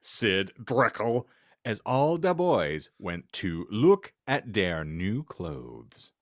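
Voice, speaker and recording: Male comedy podcast host, low lively voice, theatrical and unhurried, dry close sound. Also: no echo. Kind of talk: comedic dramatic reading of fan fiction with banter.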